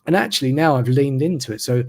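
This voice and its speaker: Man in his 30s, gravel in the voice, steady slow reflective talker, hosting an interview. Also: mid-range voice. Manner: slow, even, reflective delivery. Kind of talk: casual interview conversation. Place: remote video call. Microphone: video call mic.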